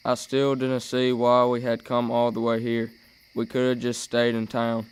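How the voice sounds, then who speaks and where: An anxious, stubborn boy of ten , acted by a young man in an amateur radio drama. The voice is smooth and clear, with little rise and fall in pitch. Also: completely flat delivery, normal speed, lightly acted. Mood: sullen. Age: teenager or young adult. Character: anxious, stubborn ten-year-old brother. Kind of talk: amateur radio play acting.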